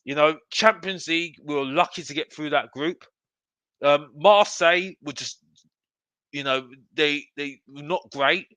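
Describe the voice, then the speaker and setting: A man's voice: fairly high pitch, unhurried, sharp tone, smooth, dry recording. A man hosting a live football fan show, ranting in an emphatic, repetitive, exasperated way. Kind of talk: live opinionated fan rant to viewers.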